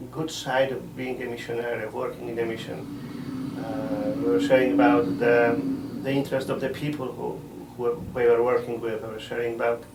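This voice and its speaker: A middle-aged man, a missionary priest answering interview questions in a measured way, often pausing to find words. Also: medium pitch, background noise. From Poland. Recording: roomy sound from a distant mic.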